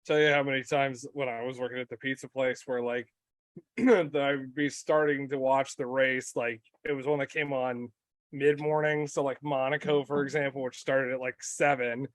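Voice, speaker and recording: A man's voice: mid-range voice, fairly even intonation, moderate pace, no echo. A young man co-hosting a casual podcast, talking in a laid-back way with many fillers and laughs. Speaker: podcast co-host. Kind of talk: casual chat.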